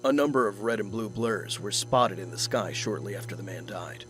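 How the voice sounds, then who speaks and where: An actor playing a wealthy, guarded, dry-witted man in an audio drama, speaking in terse, measured lines. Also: on the low side, a bit bright, soft background music, natural voice. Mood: dry, intent.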